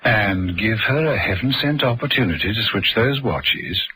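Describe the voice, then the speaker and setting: A man's voice: fairly low pitch, expressive, moderate pace, pushed and bright, breathy edge, faint music behind, poor audio. A man narrating a scripted radio drama, telling the story between the scenes.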